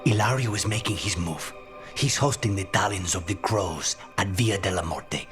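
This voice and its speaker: An actor playing a brooding, cautious assassin in a video game, speaking in a terse, guarded manner. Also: male voice, low-pitched, moderate pace, a bit bright. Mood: wary resolve. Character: brooding, cautious assassin man, grimly purposeful. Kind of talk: video game cutscene acting. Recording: music clearly behind the voice.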